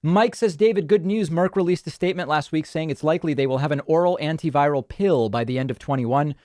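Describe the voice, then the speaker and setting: A man's voice: a little high, fast, a little mellow, no room sound. A male talk-show host, whose first language is Spanish, reading viewer comments aloud and answering with dry, dismissive asides. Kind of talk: live-stream talk show chat.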